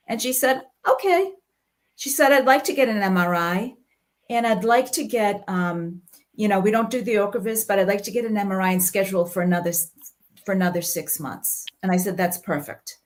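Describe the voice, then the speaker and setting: A middle-aged woman with a strong Boston accent, telling her health story in a casual online talk and acting out the conversations she had. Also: mid-range voice. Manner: earnest storytelling, reenacts dialogue, laughs easily.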